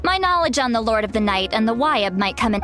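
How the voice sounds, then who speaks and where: A woman's voice: a little high, sing-song, fast, a bit bright, faint music behind. An actress playing a practical, helpful young female scholar in a video game, frank and matter-of-fact. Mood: reassuring. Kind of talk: video game character acting.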